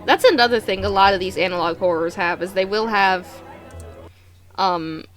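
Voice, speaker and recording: A woman hosting a reaction video, commenting casually in an animated, exclamatory, slangy way. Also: medium pitch, normal speed, faint music behind, some chatter behind.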